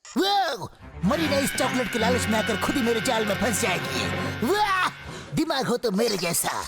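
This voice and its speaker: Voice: medium pitch, sing-song, unhurried. An actor voicing a cocky, hungry male hyena villain in a Hindi cartoon dub, boastful and scheming. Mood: gloating. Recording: loud music under the voice.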